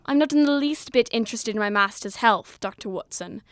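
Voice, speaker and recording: Woman as high-voiced, coldly menacing butler. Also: a little fast, no echo, studio quality, lightly acted. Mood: menacing, smug. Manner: formal, mock-deferential. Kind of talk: amateur audio drama acting.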